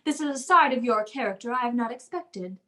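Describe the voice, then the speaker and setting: Woman playing a high-voiced, haughty society lady. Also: lively intonation, a little fast, a bit bright. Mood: gracious, formal. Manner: formal, grandly pompous diction. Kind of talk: old-time radio comedy acting.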